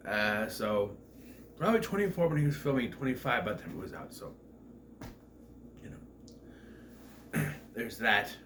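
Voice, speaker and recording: A man's voice: on the low side, sing-song, normal speed, long pauses, fairly bright tone. A man in his thirties, stuffed up and nasal from a cold, giving a chatty, joking movie review full of asides and self-corrections. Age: 30s. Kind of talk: casual rambling film review. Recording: echoey room, distant mic, noisy background.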